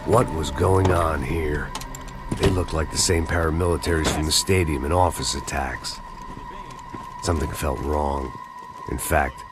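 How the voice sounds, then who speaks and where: An actor playing a world-weary, cynical middle-aged American ex-cop, narrating a hard-boiled noir video game in a sardonic deadpan. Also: male voice, a bass voice, very noisy. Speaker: narrating lead character.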